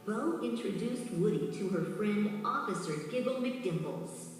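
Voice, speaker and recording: A woman's voice: medium pitch, lively intonation, measured pace. A woman reading scripted children's storybook narration as the narrator of a read-along. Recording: very echoey distant hall, music underneath.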